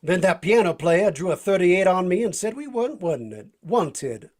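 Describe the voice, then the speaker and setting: An actor playing a folksy, easygoing cowboy sidekick with a Southern US drawl and husky voice, in a vintage radio drama. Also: male voice, high voice, animated, moderate pace, darkish tone. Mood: playful, easygoing. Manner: drawling folksy slang with chuckles. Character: folksy, easygoing Texan-style cowboy sidekick, tough but playful.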